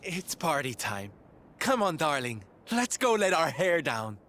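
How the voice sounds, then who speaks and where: A man's voice: fairly high pitch, sing-song, measured pace, background noise. An actor playing a flamboyant, affectionate showman in a fantasy video game, theatrical and playful. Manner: theatrical, endearments, languid. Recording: loud music under the voice.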